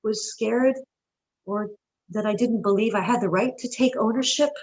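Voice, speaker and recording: A middle-aged woman tells stories in a casual interview, lively and expressive, often breaking into laughter. Her voice sits a little high for a woman. She grew up in New York. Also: normal speed, poor audio. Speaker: guest, stage actress.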